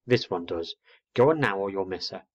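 A man's voice: deep voice, lively intonation, moderate pace, highs cut off. A man voicing a patient but firm mother barn owl in a children's storybook. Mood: patient, instructing.

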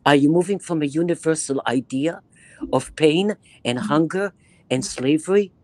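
A woman in her eighties from Israel with a Bronx accent, lecturing a reading group over a video call in an animated, emphatic way, repeating phrases for effect. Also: sits low, moderate pace, no room sound. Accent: Bronx New York. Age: 80s. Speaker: guest lecturer. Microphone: webcam on video call, close to the face.

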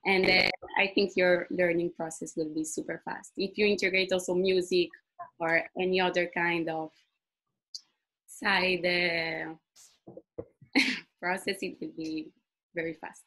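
A woman's voice: fairly low pitch, little pitch movement, unhurried, long pauses, a bit bright, poor audio. Italian-native woman answering webinar questions. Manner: laughs often, fluent non-native phrasing. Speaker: community manager. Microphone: video call.

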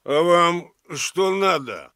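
An actor playing a stern, self-important village elder in a radio play, questioning people pompously. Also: male voice, high-pitched, animated, slow pace, sharp tone, dry recording, clean studio sound, full character voice. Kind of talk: Soviet radio play acting.

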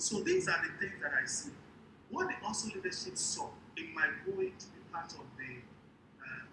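Nigerian guy, middle aged, giving a panel talk over video call, high voice, explains stuff emphatically, noisy line. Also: roomy sound. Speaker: panel speaker, business mentor. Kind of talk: panel talk to a business convention. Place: small indoor room, on a video call. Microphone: wired earphones on a video call.

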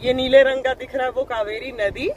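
A woman's voice: high-pitched, steady tone, brisk, a little mellow, a little hiss or hum. A woman in her forties chatting casually through a travel vlog, switching between Hindi and English, filmed on a phone held at arm's length outdoors. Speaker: vlogger. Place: outdoors by a river and inside a car. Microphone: phone camera at arm's length.

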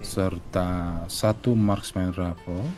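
A man playing a measured, menacing crime boss with an authoritative manner in an online game roleplay. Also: deep voice, steady tone, darkish tone, soft background music. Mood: commanding.

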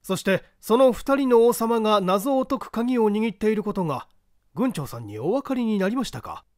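A man's voice: high-pitched, sing-song, normal speed, darkish tone, no echo, clean studio sound, voice pushed up. A man voicing an inquisitive, polite castle guest in an audiobook, formal and eagerly questioning. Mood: curious, polite.

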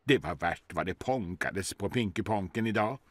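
A man in his sixties narrating a children's bedtime story in a soft, lulling, sing-song way, over gentle music. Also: deep voice, expressive, dry recording. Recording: studio narration with music behind.

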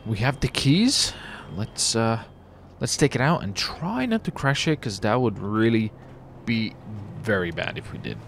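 A man commentating his roleplay gameplay, excitable and hyped, his voice jumping when something surprises him. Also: mid-range voice, a little slow, gravelly, a little hiss or hum. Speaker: gameplay streamer.

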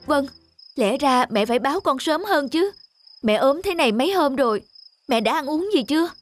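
A woman's voice: high-pitched, animated, moderate pace, faint music behind. A woman voicing an earnest, devoted young son in a cartoon. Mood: worried. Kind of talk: cartoon dubbing.

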